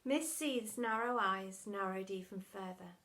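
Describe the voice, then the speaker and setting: A woman's voice: medium pitch, measured pace, a bit bright. A woman narrating a children's story in a dramatic storytelling style, as for primary school pupils.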